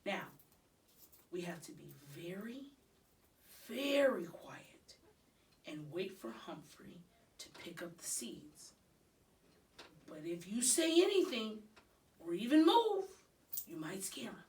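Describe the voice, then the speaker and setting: A woman's voice: mid-range voice, very animated, takes it slowly, long pauses, darkish tone, roomy sound, far from the mic. An exasperated dad and school principal from a children's storybook, acted out by a woman. The delivery swoops expressively between pleading and firm authority, recorded a little away from the microphone. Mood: hushed, instructing.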